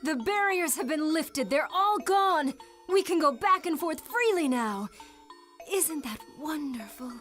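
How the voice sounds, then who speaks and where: A woman playing a gentle, forgetful cat-like character in an anime video game, with a dreamy, wistful delivery. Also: high voice, expressive, measured pace. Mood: delighted. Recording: loud music under the voice.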